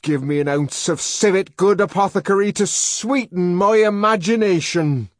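A man playing a violent, tormented young savage man in an audiobook, shouting and ranting verse. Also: sits high, normal speed, no room sound, studio quality, voice pushed up. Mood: raving.

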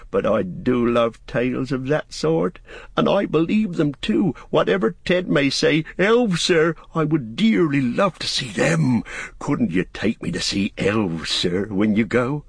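A man's voice: medium pitch, creaky, husky, no echo, pitched above the performer's own voice, exaggerated voice. A man voicing a simple-hearted young male hobbit gardener from a storybook, deferential, rustic and pleading.

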